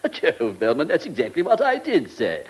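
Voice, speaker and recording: A man's voice: mid-range voice, wildly swooping pitch, moderate pace, a little mellow, slightly rough. A man playing a pompous, pedantic British government minister in a radio comedy drama, fussy and self-important. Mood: self-satisfied. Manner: pompous, pedantic, hesitant asides.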